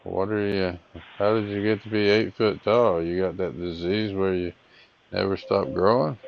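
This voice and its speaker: A man with a drawl talks in a very deep, gravelly voice, ribbing the person on the other end with folksy, mock-innocent questions. The recording is muffled and low-fidelity. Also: steady tone, normal speed, slight background noise. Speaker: scambaiter. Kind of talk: prank phone call.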